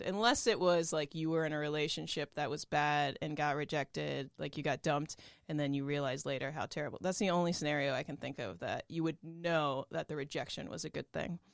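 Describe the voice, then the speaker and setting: A woman's voice: sits low, vocal fry, no room sound, clean studio sound. A woman co-hosting a podcast in casual chat, steering the talk with questions. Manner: steady, leads the discussion with questions. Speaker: podcast co-host.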